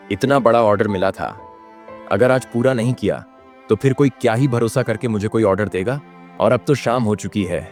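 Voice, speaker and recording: A man's voice: lowish voice, darkish tone, lightly acted. A man voicing an earnest, humble young samosa seller in a plaintive manner for a children's cartoon. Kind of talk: Hindi children's moral-story cartoon dub.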